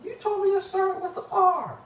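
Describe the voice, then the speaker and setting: A woman's voice: very high voice, animated, moderate pace, slight background noise. A woman playing a hot-tempered, sulky, boastful young woman in an amateur puppet show skit. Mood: suspicious. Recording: roomy, echoey amateur indoor recording.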